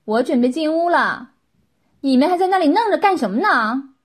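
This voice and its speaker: Woman acting an imperious young mistress, loud and high. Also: very animated, moderate pace, slightly rough. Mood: cold, commanding. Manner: commanding, impatient, loud. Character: imperious young woman, scolding master of servile followers.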